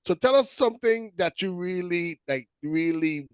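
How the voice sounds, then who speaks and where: A man with a Virgin Islands accent hosts a relaxed talk show chat, his voice sitting a little high for a man. The sound is dry and close, with no room around it. He keeps things easygoing and full of praise for his guest. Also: normal speed, clear, resonant tone, dry recording. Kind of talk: casual interview chat.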